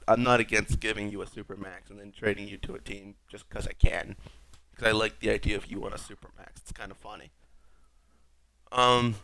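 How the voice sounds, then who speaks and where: A young man with a raspy voice, sick, rambling wryly through casual gameplay commentary as a streamer. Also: lowish voice, a bit bright, a little hiss or hum. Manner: rambling, wry, self-mocking. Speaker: gaming streamer.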